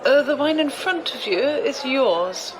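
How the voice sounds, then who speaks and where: A woman's voice: high-pitched, animated, a little slow, a crowd in the background, poor audio. A woman playing an imperious, shrewd, wealthy older noblewoman in an audio drama, commanding and condescending. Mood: amused, superior.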